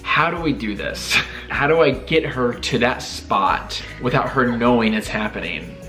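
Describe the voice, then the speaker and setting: A young man telling a personal story in a casual chat with his wife, animated and self-deprecating, often laughing at himself. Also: medium pitch, a little slow, faint music behind, poor audio. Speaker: vlogger telling proposal story.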